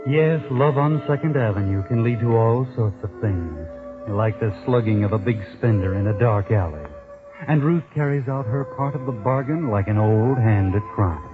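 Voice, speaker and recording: Actor as wry, ominous male storyteller, mid-pitched, 1940s radio mystery, music under muffled sound. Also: normal speed, natural voice. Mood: sardonic, ominous. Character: sardonic, knowing male mystery narrator addressing the characters. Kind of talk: dramatic 1940s radio narration.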